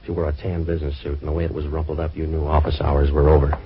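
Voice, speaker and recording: An actor playing a wisecracking, sardonic private detective with an American accent in an old-time radio drama, in clipped slangy lines. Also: male voice, very deep voice, flat delivery, fast. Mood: wry narration. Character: wisecracking hard-boiled male private detective. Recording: muffled old radio recording with hiss.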